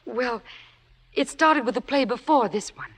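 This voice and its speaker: An actress playing a demure, earnest young theatre fan, humble and polite, in an old radio drama. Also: female voice, on the high side, a little slow. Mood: hesitant. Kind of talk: 1940s-50s radio drama acting. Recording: old radio broadcast recording.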